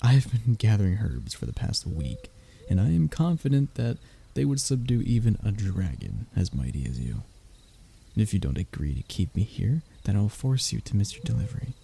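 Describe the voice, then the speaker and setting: A man's voice: on the low side, very animated, moderate pace, gravelly, warm tone, a little hiss or hum. A man playing a young royal heir in a roleplay audio drama, formal and pompous in his wording but often faltering into nervous stammers as he addresses the listener. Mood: defiant, nervous.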